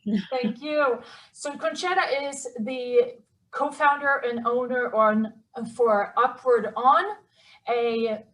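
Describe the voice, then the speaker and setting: A woman's voice: a little high, fairly bright tone, far from the mic, rough recording. A woman from Sweden with a Swedish accent, hosting an interview with upbeat enthusiasm. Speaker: host. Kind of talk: interview chat.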